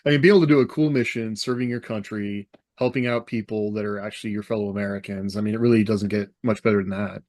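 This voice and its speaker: A man's voice: lowish voice, fairly even intonation, fast talker, few pauses, darkish tone, dry recording, studio quality. A man who co-hosts a podcast as a military veteran and writer, talking in a casual conversational style.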